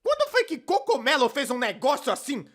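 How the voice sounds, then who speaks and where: A narrator with a Brazilian accent gives scripted cartoon commentary in an expressive, conversational, comically emphatic way. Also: sits high, fairly quick. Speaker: narrator of a cartoon opinion video. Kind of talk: scripted animated commentary video narration, fan dub.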